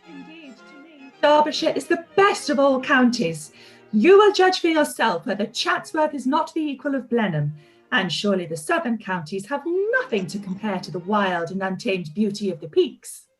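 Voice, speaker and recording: An actress playing an expressive, warm middle-aged aunt in a radio play reading. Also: female voice, a little high, normal speed, faint music behind, lightly acted, poor audio. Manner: gushing, expressive. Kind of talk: live-read radio play.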